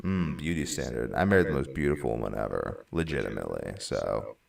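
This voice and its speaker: A man in his twenties talks in a casual reaction commentary with a deadpan, wry edge. His voice is very deep and gravelly but rises and falls a lot. He sounds set back from the mic in an echoey room. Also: a little fast, distant mic. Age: 20s. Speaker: reaction video host.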